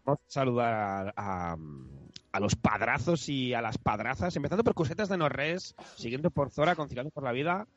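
A man co-hosting a casual podcast, laid-back and quick to laugh. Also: mid-range voice, no room sound. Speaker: podcast co-host reading chat. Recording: dry, dull lo-fi sound.

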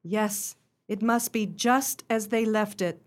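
A woman's voice: medium pitch, slow, close to an everyday voice. A woman playing a polite, helpful genteel lady in a radio play, with a proper, earnest manner.

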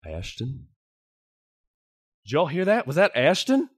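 Big rough miner, frantic and loud, pleading for help, voiced by a storyteller. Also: male voice, on the high side, wildly swooping pitch, slow pace, voice pushed up, heavily acted. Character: burly male miner, frantic husband.

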